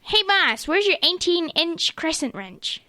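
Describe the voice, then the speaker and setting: A woman's voice: very high-pitched, sing-song, unhurried, sharp tone, exaggerated voice. A woman voicing an adoring yes-man assistant who fawns on the boss, eager to please, in a comedy radio play.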